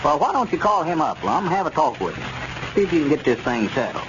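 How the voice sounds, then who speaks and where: An actor playing an easygoing neighbor in 1930s radio comedy, mild and measured. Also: male voice, fairly high pitch, big pitch swings. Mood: helpful, calm. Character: easygoing rural male neighbor giving sensible advice. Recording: muffled, very noisy 1930s radio recording.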